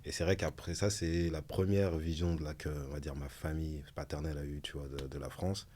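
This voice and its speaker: A man's voice: a bass voice, level tone, darkish tone. A man hosting a round-table podcast, talking casually with loose restarts. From Antilles. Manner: quick, loose talk with many restarts. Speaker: podcast host.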